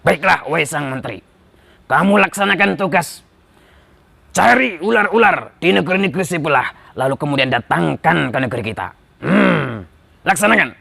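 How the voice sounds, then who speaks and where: A man's voice: fairly high pitch, animated, normal speed, gravelly, pushed and bright, breathy edge, heavily acted. Man voicing a pompous, foolish storybook king. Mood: pompous, commanding. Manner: pompous, groaning, given to hemming. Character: foolish, lazy, vain king, exasperated and bossy.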